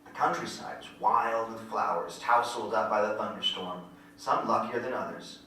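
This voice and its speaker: A man's voice: on the low side, normal speed, pushed and bright. A man playing a wistful country narrator looking back on boyhood, telling it lyrically with folksy rural grammar. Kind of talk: poetic first-person monologue in staged reading. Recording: very echoey hall, distant mic, faint noise.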